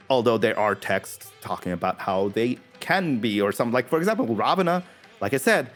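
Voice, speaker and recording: A man in casual podcast conversation, animated and often laughing, sometimes halting and restarting while he thinks. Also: mid-range voice.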